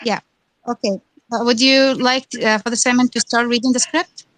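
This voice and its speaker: A woman's voice: a little high, fairly even intonation, normal speed, fairly bright tone, no room sound. A woman hosting a live fan chat, eager and chatty, stumbling over her words. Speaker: host of a fan audio chat.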